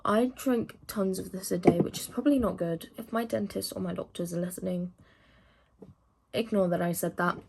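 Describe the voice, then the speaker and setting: A woman's voice: fairly low pitch, fairly even intonation, moderate pace, vocal fry, a little mellow, breathy edge, poor audio. A teenage woman from England chatting casually to camera, rambling with lots of fillers as she answers questions. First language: English. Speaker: vlogger.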